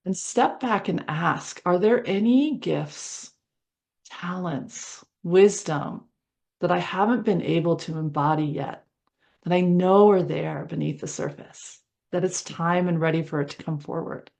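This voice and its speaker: A woman leading a guided meditation in a soothing, conversational way, speaking in short phrases with pauses between them. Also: on the low side, creaky, a little mellow. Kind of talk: guided meditation, gentle improvised visualization.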